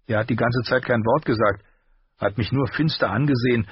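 A man voicing a shy young male blacksmith apprentice, speaking quickly and eagerly. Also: mid-range voice, husky, dry recording, voice pushed up. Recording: dull lo-fi recording.

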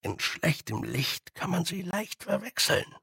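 A man's voice: high voice, big pitch swings, measured pace, vocal fry, pushed and bright, husky, dry recording, clean studio sound, voice pushed up, heavily acted. A man voicing a cheerful, stubborn old village man with a rustic, cocky yet deferential manner, in an audiobook. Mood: meek, agreeable. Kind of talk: audiobook character voice.

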